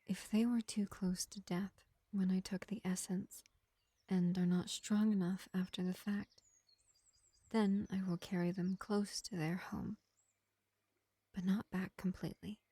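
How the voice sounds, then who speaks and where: A woman voicing a kindly vampire field medic in a soothing, unhurried, whispery roleplay addressed to the listener. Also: mid-range voice, level tone, mellow, husky, no room sound. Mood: reassuring, regretful. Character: gentle, kindly vampire field medic tending a wounded elf.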